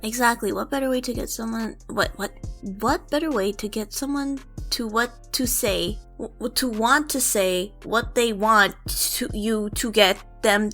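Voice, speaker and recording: A woman's voice: on the high side, unhurried, fairly bright tone. A woman doing goofy voice acting outtakes, playful and self-deprecating, talking to herself and stumbling over lines, with music behind her. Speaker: voice actor recording roleplay audio. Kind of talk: goofy outtakes, reading takes and muttering to self.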